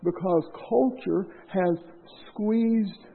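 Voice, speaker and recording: Male preacher, high gravelly voice, impassioned sermon delivery, tinny lo-fi recording. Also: very slow. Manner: impassioned, rising to loud emphasis then dropping low. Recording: tinny phone-like lo-fi sound.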